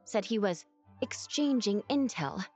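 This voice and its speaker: Woman acting a lively, earnest young knight-in-training. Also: mid-range voice, measured pace, faint music behind, lightly acted. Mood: friendly. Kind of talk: video game voice acting.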